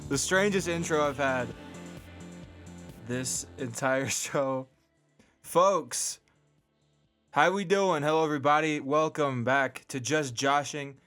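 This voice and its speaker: A man hosting a casual sports and entertainment podcast, excitable, often stammering and repeating words as he goes. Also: fairly high pitch, takes it slowly, pauses often, soft background music. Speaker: podcast host.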